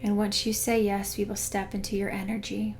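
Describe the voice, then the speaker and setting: A woman guiding a meditation in a soothing, unhurried way, hushed in places. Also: medium pitch, little pitch movement, a little hiss or hum. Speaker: meditation guide. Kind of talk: guided meditation narration. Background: background music.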